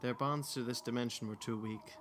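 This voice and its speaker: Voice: medium pitch, fairly even intonation, fairly quick, a little hiss or hum, close to an everyday voice. An actor playing a matter-of-fact science-fiction explainer in a comedic audio drama, precise and explanatory.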